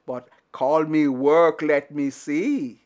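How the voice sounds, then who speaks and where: A woman voicing a gruff, bossy talking log in a folktale, curt and commanding. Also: deep voice, slow pace, darkish tone, highs cut off, voice pushed down. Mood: slow, commanding. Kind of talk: oral folktale storytelling.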